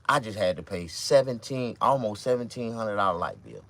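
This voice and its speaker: A man around fifty with a Mississippi accent, talking to camera in a loose, profane, rambling monologue with sudden loud outbursts. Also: on the low side, animated, normal speed, creaky. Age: 50s. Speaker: vlogger and stand-up comedian.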